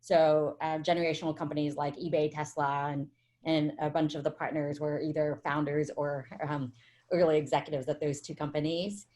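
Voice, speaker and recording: Panel host, woman, 40s, from Vietnam; lowish voice, chatty and full of fillers. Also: flat delivery, moderate pace, warm tone. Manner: chatty, many fillers and restarts. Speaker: venture capital partner and panel host. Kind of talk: casual panel talk.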